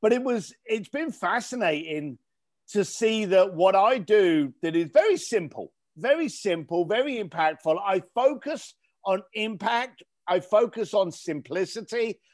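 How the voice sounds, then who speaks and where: A man's voice: sits high, animated, measured pace, a little mellow. A man interviewed as a guest, telling an anecdote with quoted exchanges and repeated words for emphasis. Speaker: guest, author and business owner. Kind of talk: casual interview.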